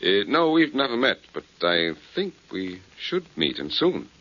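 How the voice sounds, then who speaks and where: An actor playing a cool, quick-witted, wry male detective with clipped delivery in a 1940s radio drama. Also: lowish voice, sing-song, a bit bright, exaggerated voice. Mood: sly bluffing. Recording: dull 1940s radio recording.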